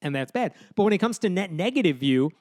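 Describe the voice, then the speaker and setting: A man's voice: high-pitched, big pitch swings, fast, darkish tone, dry recording, clean studio sound. A man co-hosting a podcast, walking through poll numbers and arguing his points in casual chat. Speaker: podcast host.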